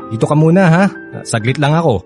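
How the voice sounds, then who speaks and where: An actor playing an evasive, apologetic young boyfriend in a radio drama, hesitant and casual, with a husky voice and a Filipino accent. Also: male voice, fairly high pitch, animated, fast, over music, close to an everyday voice.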